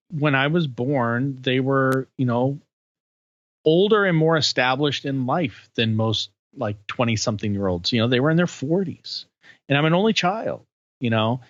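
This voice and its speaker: Man talking haltingly on a dull, dry recording. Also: medium pitch, a bit bright, no echo. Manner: halting and searching, many restarts and fillers. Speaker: podcast host. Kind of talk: candid podcast conversation.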